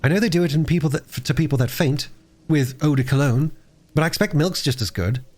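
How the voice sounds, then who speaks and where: A man voicing a gentle, sensible and capable girl in a storybook reading. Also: on the high side, quick pace, pitched above the performer's own voice, lightly acted. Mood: earnest.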